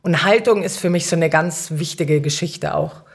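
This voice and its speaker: A woman's voice: sits low, normal speed, breathy edge. A middle-aged woman answering as an interview guest, conversational and reflective, often searching for the right words. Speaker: city councillor, podcast guest.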